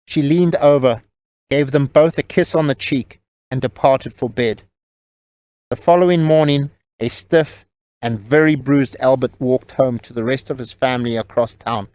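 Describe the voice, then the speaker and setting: A man's voice: mid-range voice, moderate pace, a little mellow, no room sound. A man reading scripted novel narration as the storyteller of an audio drama.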